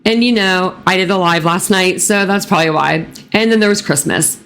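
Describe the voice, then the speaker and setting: A woman true crime vlogger with a raspy, hoarse voice, reading a news article aloud and then talking casually to her viewers with many fillers. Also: lowish voice, moderate pace, fairly bright tone. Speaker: true crime channel host.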